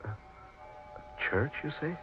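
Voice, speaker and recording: A man playing an older, frightened, wicked millionaire, husky and pleading, in melodramatic old-time radio acting. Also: takes it slowly, close to an everyday voice. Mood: dazed, uneasy. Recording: tinny vintage radio recording with music.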